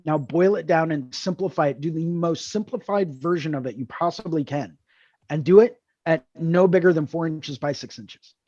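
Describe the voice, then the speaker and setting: A man's voice: fairly high pitch, moderate pace, darkish tone, no echo, muffled recording. A man hosting a tattoo drawing group over a video call, explaining ideas earnestly and repeating key words. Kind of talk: casual mentoring chat on a video call.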